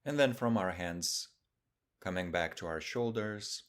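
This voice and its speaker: A deep-voiced man teaches a guided meditation. He speaks in a calm, measured way, setting out short phrases one at a time. Long pauses separate each instruction.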